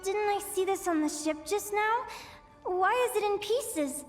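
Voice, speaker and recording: A woman's voice: extremely high pitch, unhurried. A woman voicing an anxious, sorrowful young heroine lost in dreams in a video game, talking hesitantly to herself. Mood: puzzled. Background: background music.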